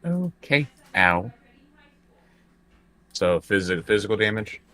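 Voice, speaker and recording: A man with a deep voice takes part in a tabletop role-playing game as a player. His talk is casual and a little halting, often feeling its way through questions about what he can do. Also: sing-song, bright, forceful tone.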